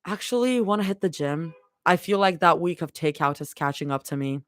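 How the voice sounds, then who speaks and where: Woman doing a deadpan unimpressed young woman. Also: fairly quick, no room sound, studio quality, lightly acted. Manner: low deadpan delivery.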